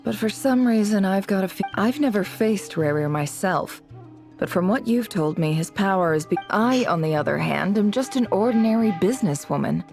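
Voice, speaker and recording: A woman voicing a composed, shrewd businesswoman in a video game, measured, cool and formal. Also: mid-range voice, animated, creaky, darkish tone, soft background music. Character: composed, shrewd businesswoman boss, wry and grave.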